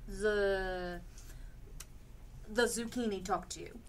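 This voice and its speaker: A woman playing a sceptical, exasperated housewife with incredulous, deliberate questions in a radio comedy. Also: mid-range voice, steady tone, natural voice. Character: sceptical, exasperated housewife humoring her odd neighbor. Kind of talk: stage radio comedy acting.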